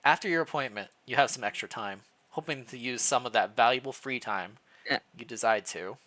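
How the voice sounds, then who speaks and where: A streamer reading fan fiction aloud on a casual livestream, playful and reacting out loud, sometimes stumbling over the text. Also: medium pitch, brisk.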